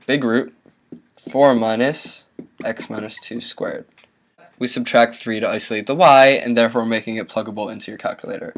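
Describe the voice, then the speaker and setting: A young man presenting a math tutorial, explaining each step in an instructional way. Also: mid-range voice.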